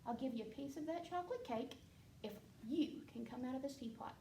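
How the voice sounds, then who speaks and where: A woman voicing a young, excited little prince in a high, whiny, pleading voice, in a storybook reading. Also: fairly quick, vocal fry. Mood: bold. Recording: roomy distant mic, faint background noise.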